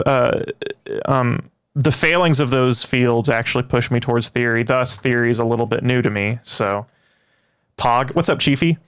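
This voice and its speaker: A young man, a native Greek speaker, chatting with his livestream audience in a rambling, halting way with many restarts. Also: mid-range voice, fairly bright tone, smooth. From Greece. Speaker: livestream host. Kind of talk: casual livestream chat.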